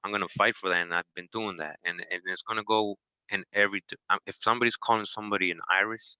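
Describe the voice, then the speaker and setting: A man from Costa Rica with a low, sharply bright voice. He talks casually and informally, peppering his points with "you know." The recording is close and dry. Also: steady tone, fast talker, clean tone, no echo, highs cut off. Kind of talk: casual discussion chat.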